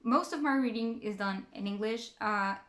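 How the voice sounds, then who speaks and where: A woman's voice: on the high side, normal speed, a bit bright, roomy sound. A young woman from Portugal, a native Portuguese speaker, chatting casually as a book vlogger and thinking aloud with fillers and restarts. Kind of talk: casual reading-stats chat to camera.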